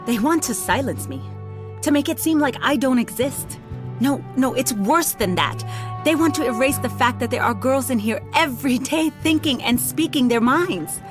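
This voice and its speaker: Dedicated 19th-century schoolmistress, earnest, formal, weary but proud, audio drama, background music. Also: female voice, high-pitched, lively intonation, moderate pace. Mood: indignant, hurt. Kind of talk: period audio drama acting.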